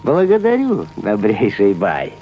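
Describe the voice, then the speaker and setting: An actor playing a wily, genial folk trickster in an animated film, with a courteous, flattering and playful manner. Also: male voice, fairly low pitch, a little slow, a little hiss or hum. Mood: feigned innocence. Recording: muffled old film soundtrack, faint music.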